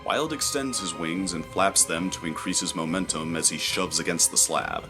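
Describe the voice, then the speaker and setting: A man narrating a scripted adventure story in a measured, descriptive, dramatic storytelling style. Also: sits low. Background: music.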